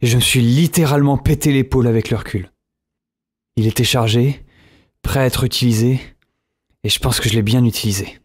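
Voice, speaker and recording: A man's voice: medium pitch, steady tone, a little fast, pauses often, husky, lightly acted. A young male survivor of a zombie apocalypse, acted by a man tells his story in an audio drama. He is lonely and shaken, and speaks in an informal, hesitant way. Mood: recounting. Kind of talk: audio drama acting, first-person narration.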